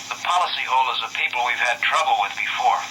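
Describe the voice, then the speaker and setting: An actor playing a brisk, businesslike insurance agent on a telephone in a 1950s radio drama. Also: male voice, mid-range voice. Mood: businesslike, cautious. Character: businesslike male insurance agent handing out risky cases. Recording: noisy, sharp old radio phone call.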